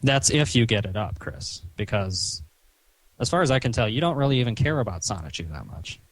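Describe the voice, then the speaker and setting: A man talking on a recorded phone call in a stern, chiding, halting way, padding his sentences with "you know". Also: on the low side, lively intonation, no room sound. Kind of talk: phone conversation, reproachful lecturing.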